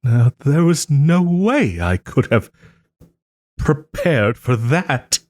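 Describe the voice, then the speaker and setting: A man playing a haughty but tender wronged nobleman in a romantic audio roleplay, speaking theatrically with formal, old-fashioned phrasing and hesitant pauses. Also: mid-range voice, big pitch swings, darkish tone, no echo, clean studio sound. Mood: hurt, confessional. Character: wronged young nobleman, haughty, wounded, still tenderly caring.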